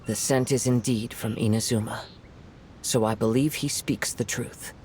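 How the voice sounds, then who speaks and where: An actor playing a calm, thoughtful young male wanderer in a video game, speaking in a measured, poetic way. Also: mid-range voice, slight background noise, lightly acted. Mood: calm, resolved. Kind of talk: video game character acting.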